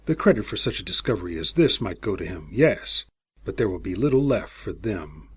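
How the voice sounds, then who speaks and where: A male audiobook narrator with a markedly husky, breathy voice. He reads in a dramatic, suspenseful style, his pitch moving up and down freely to carry the prose. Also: fairly quick. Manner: expressive, suspenseful storytelling. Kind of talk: dramatic scripted story narration.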